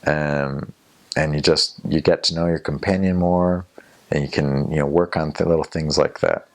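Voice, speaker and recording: A man's voice: extremely low pitch, steady tone, moderate pace. A young man, a returned missionary, telling stories from his mission in a casual interview, rambling a little with fillers and restarts. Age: young adult.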